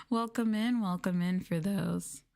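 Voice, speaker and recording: A woman's voice: on the low side, vocal fry, darkish tone. A woman livestreaming an ASMR roleplay, talking down to her viewers in a teasing, sultry, condescending way. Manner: teasing, condescending, mock-sadistic dominance.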